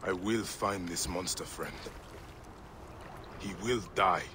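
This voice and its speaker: An actor playing a grave, protective Egyptian warrior in a video game, solemn and determined, bent on revenge. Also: male voice, low voice, slow, background noise. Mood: grim resolve. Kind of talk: dramatic video game acting. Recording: music under the voice.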